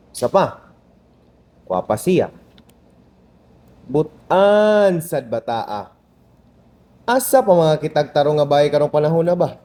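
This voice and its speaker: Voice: medium pitch, mellow. A performer playing a stern middle-aged father in an amateur radio drama, questioning and disapproving. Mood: probing. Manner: questioning, scolding.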